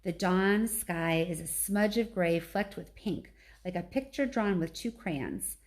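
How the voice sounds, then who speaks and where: A woman reading a children's storybook aloud as a teacher would, with animated, clearly phrased narration. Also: on the low side, unhurried.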